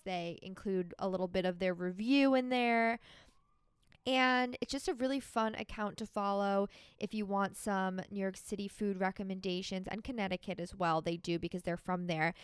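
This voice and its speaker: A woman's voice: medium pitch, clean tone, no room sound, studio quality. A young woman hosting a podcast, giving chatty, upbeat introductions and interview questions. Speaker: podcast host. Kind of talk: casual interview chat.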